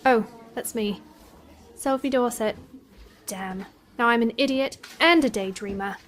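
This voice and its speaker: A woman's voice: on the high side, a little slow, a little hiss or hum, close to an everyday voice. A woman playing a sardonic, self-critical seventeen-year-old college girl in an audio drama, with a wry, grumbling inner monologue. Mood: self-mocking.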